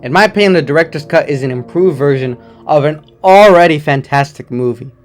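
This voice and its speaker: A man with a slightly hoarse voice and occasional voice cracks, giving a chatty, rambling movie review at home. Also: fairly high pitch, brisk, faint music behind. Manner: chatty, rambling, enthusiastic opinions. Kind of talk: casual non-spoiler movie review.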